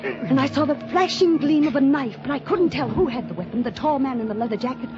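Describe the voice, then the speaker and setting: An actress playing a restless, haunted woman, narrating a dark story with dramatic intensity in 1940s radio style. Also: sits high, a little fast, lightly acted. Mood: excited, dark thrill. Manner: dramatic, intense, repeats words for emphasis. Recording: muffled old radio recording, music behind.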